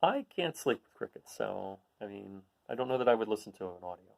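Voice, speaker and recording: A man's voice: fairly low pitch, very animated, a little fast, creaky, a little mellow. A man hosting a read-aloud, reading a children's picture book and adding casual, explanatory asides for young listeners.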